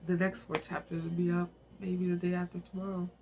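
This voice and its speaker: Man, high-pitched voice, gravelly fry, emotional amateur book narration, noticeable background noise. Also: monotone, a little fast, warm tone, highs cut off. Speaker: amateur book reader and channel host. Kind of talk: reading a novel aloud, with informal asides.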